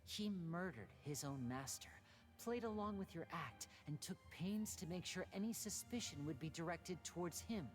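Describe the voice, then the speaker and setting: Woman as a low-voiced, composed young male magician. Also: expressive, close to an everyday voice. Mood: cold, cutting. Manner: measured, deliberate, theatrical. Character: young male stage magician, composed, theatrical, quietly cutting. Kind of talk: video game voice acting. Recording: music clearly behind the voice.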